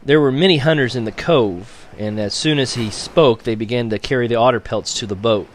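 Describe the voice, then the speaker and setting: A man reading a novel aloud as an audiobook narrator, in a measured storytelling manner. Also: mid-range voice, slight background noise. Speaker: audiobook reader.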